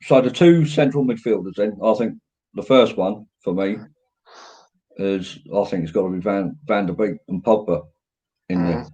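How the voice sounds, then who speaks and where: A man with a southern English accent and a very deep voice, hosting a casual football fan show and reading out viewers' comments. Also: normal speed, warm tone, breathy edge. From England. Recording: dull, lo-fi audio.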